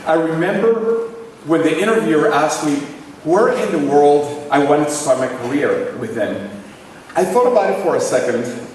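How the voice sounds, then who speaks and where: A man with a Venezuelan accent, likely in his forties, giving a formal commencement address in measured, phrase-by-phrase delivery. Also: fairly high pitch, lively intonation, darkish tone, a little hiss or hum. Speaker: commencement speaker. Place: large ceremony hall. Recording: echoey hall recorded from far off.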